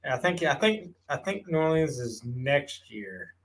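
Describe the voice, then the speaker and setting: A man's voice: on the high side, big pitch swings, measured pace, fairly bright tone. A man chatting casually about sports as a show panelist.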